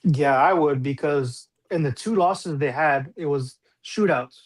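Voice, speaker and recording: A man with a slightly high voice takes his turn in a casual sports debate. He talks colloquially and leans on repeated phrases to make his case. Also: measured pace. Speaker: sports panelist.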